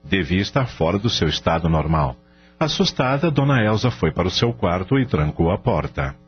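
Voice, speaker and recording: A man's voice: low-pitched, big pitch swings, moderate pace. A man narrating a radio novel as scripted dramatic storytelling. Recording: dull radio-like audio, music behind.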